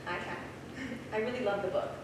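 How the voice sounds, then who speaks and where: A woman's voice: fairly low pitch, brisk, lightly acted. A woman acting a bold, teasing young science student in a staged play reading. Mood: casual. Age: young adult. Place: small theater stage. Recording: very echoey, noisy theater, far off.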